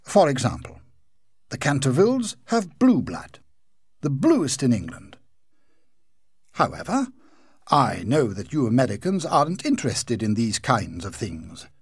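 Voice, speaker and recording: A man's voice: mid-range voice, huge pitch swings, unhurried, pauses often, slightly rough, no echo, studio quality, exaggerated voice. A man voicing a proud, self-pitying old male ghost who speaks pompously and theatrically.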